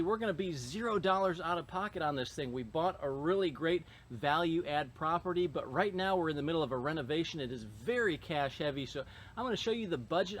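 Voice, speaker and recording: A man hosting a casual video, explaining his rental renovation in an upbeat, matter-of-fact way. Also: a little high. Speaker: real estate investor vlogger. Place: renovation site, indoors and outdoors. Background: construction noise.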